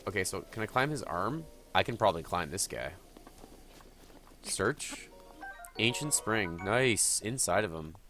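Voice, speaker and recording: A man's voice: fairly low pitch, sing-song, a little slow, long pauses, over music. A man with a cold, sniffling a little, chatting and thinking aloud as he streams a game live. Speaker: gaming streamer.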